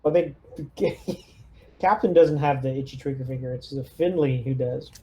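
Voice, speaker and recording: A man's voice: mid-range voice, normal speed, dark tone. A man playing a tabletop roleplaying game, giving orders in an easygoing, casual chat style.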